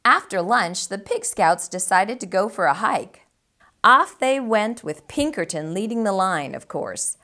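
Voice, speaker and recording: A woman hosting a storytime, reading a children's book aloud in an animated style for young listeners. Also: mid-range voice, a little slow.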